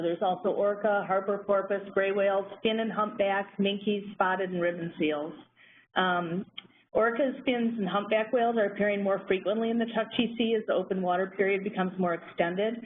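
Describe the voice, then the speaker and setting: A soft-spoken woman giving a measured lecture on a conference call. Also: mid-range voice. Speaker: presenter on marine mammals. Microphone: phone line. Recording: tinny phone line, faint noise.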